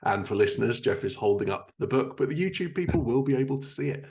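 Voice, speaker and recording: A man with a medium-pitched voice hosts an interview podcast. He reads prepared introductions and slips into casual conversation with his guest. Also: lively intonation, fast, a little mellow.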